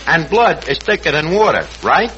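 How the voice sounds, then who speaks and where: A man's voice: fairly high pitch, big pitch swings, moderate pace, pushed and bright, dull audio. An actor playing a brash, scheming New York tavern manager who mangles his words pompously in a 1940s radio comedy. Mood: cocky. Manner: pompous malapropisms and slangy grammar. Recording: noisy old 1940s radio recording.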